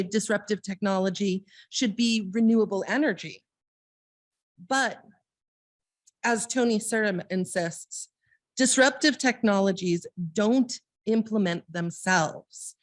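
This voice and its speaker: A woman leading a church service, reading aloud and introducing speakers in a measured, deliberate way. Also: mid-range voice, no echo. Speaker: service leader.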